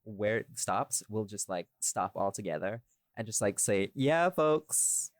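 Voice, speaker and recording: A man's voice: lowish voice, expressive, unhurried, no echo, studio quality. A man in a casual podcast chat, talking in a rambling, irreverent way and swearing freely as he explains himself. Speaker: podcast host and voice actor.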